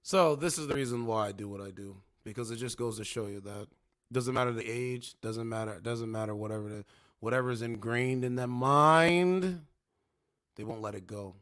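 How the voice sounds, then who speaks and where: A man's voice: mid-range voice, normal speed, fairly bright tone. A man in his twenties hosting a livestream, talking casually in slang and repeating himself as he lectures. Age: 20s. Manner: slangy, repetitive, preachy. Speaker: livestream host.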